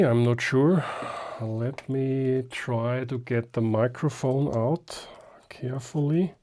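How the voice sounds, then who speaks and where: Slow-talking man with a mellow voice. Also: medium pitch, slight background noise. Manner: hesitant, thinking aloud, muttering to himself. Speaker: hobbyist host of a restoration video. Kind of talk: casual unscripted how-to commentary while working.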